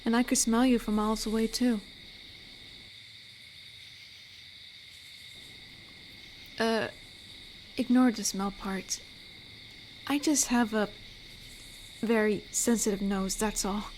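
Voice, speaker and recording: A woman's voice: a little high, steady tone, darkish tone, a little hiss or hum, natural voice. A woman playing an amiable, teasing werewolf who protects a forest, speaking in an intimate, conversational roleplay drama. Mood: friendly, apologetic. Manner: unhurried, teasing, conversational with pauses. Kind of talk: roleplay audio drama, intimate one-to-one address.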